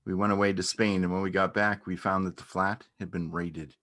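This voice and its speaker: Low-pitched male actor as a shy beekeeper; halting, understated, earnest stage dialogue. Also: flat delivery, fairly bright tone. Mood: matter-of-fact storytelling. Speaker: amateur or local theatre actor. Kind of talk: naturalistic dramatic reading of a stage dialogue.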